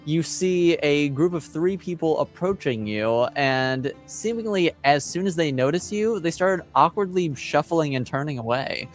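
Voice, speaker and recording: A game master narrating a tabletop role-playing game in a hesitant, deliberate, agreeable way. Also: mid-range voice, animated, soft background music.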